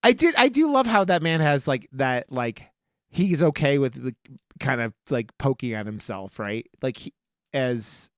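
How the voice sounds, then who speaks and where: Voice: mid-range voice, lively intonation, a little slow, no echo, clean studio sound. A podcast host in a casual film discussion, talking informally with plenty of swearing and slang.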